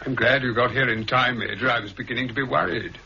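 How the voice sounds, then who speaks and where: A man's voice: medium pitch, steady tone, fast talker, creaky, bright, forceful tone. A man with a British accent playing a suave, scheming, coolly commanding intelligence official in a 1960s radio drama, with a clipped, condescending manner. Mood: relieved, urbane. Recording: muffled, hissy 1960s radio recording.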